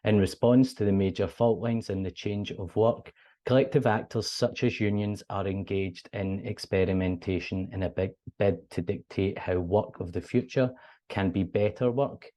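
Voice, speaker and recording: Low, mellow Scottish man presenting. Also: fairly even intonation, normal speed. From Scotland. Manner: friendly, earnest, with casual fillers. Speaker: PhD student presenter. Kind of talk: academic conference presentation.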